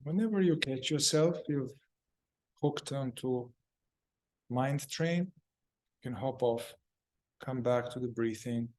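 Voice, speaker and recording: A man leading a guided meditation, speaking calmly in short, halting phrases with long pauses. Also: medium pitch.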